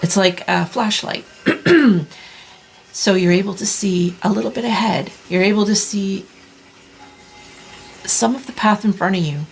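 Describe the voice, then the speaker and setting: A woman in her thirties giving a tarot reading in a soft, reassuring way, clearing her throat as she loses her voice. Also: medium pitch, poor audio. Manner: soft, slow, reassuring delivery with many restarts. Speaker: tarot reader. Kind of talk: pick-a-card tarot reading.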